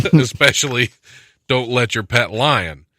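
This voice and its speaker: A man's voice: medium pitch, slow pace, sharp tone, no echo. An American man hosting a casual comedy podcast in a studio, joking and sarcastic as he chats. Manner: joking, sarcastic, often chuckling. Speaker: podcast host. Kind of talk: casual comedic chat about news.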